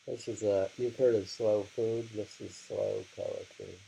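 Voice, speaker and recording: A man reads poems and comments on them casually, taking his time and pausing often. His voice is fairly low and heavily creaky, with halting phrasing and repeated lines. A faint hiss sits under the recording. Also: fairly even intonation, mellow, low-quality recording. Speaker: reader of translated poetry. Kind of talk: slow poetry reading with casual commentary.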